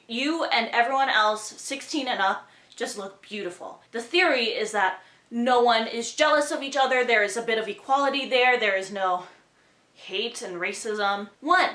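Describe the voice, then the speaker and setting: A woman's voice: on the high side, animated, normal speed, sharp tone. A young woman giving a casual, chatty book review, speaking enthusiastically and conversationally. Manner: enthusiastic, conversational, asking rhetorical questions. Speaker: book reviewer hosting her own channel. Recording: roomy indoor echo.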